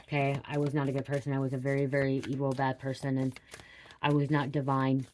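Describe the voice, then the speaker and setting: A woman's voice: extremely low pitch, completely flat delivery, fairly quick, darkish tone, slight background noise. A middle-aged woman talking casually and confessionally, blunt and sweary, repeating phrases for emphasis. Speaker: host giving a spiritual reading.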